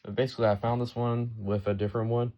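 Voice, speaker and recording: A man's voice: medium pitch, flat delivery, quick pace, dull audio. A man giving a casual, off-the-cuff show-and-tell narration as the host of a collection video. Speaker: host showing a weather radio collection.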